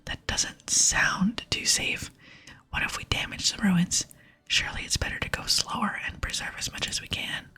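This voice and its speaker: A woman voicing a polite, earnest game adventurer in a breathy whisper. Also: normal speed, pushed and bright, close to an everyday voice. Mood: earnest, concerned. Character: eager, polite young adventurer, the game's player hero. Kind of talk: whispered ASMR reading of game dialogue.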